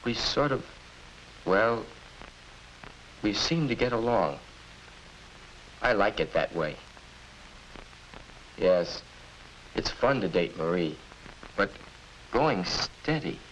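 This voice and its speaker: Slow, hesitant teenage boy. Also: fairly low pitch, animated, fairly bright tone, rough recording. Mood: musing. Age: young adult. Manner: hesitant, musing, halting. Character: puzzled, hesitant high-school senior boy. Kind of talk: 1950s instructional film acting. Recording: hissy 1950s educational film soundtrack.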